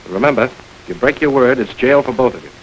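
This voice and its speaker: An actor playing a smooth, calculating gang boss in a 1930s crime film, calm and commanding. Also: male voice, medium pitch, quick pace, slight background noise, lightly acted, rough recording. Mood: calm authority. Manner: cool, controlled, quietly commanding. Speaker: gang chief.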